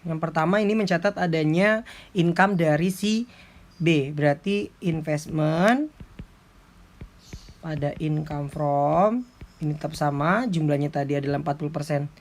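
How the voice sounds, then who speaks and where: Male lecturer, noisy recording. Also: a little high. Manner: halting, step-by-step, checks with "ya". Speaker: accounting lecturer. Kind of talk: informal tutorial explanation, worked examples. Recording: clearly noisy background with clicks.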